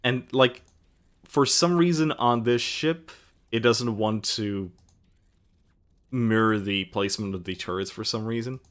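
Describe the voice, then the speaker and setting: Bright-voiced man. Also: medium pitch, a little slow, long pauses. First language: Latvian. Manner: trails off mid-sentence, self-mocking. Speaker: game streamer. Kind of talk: casual gaming stream chat.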